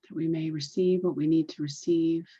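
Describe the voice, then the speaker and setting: A woman leading a guided meditation in a deliberate, soothing, repetitive way, on a dull, muffled recording. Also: deep voice, steady tone, dark tone, slightly rough.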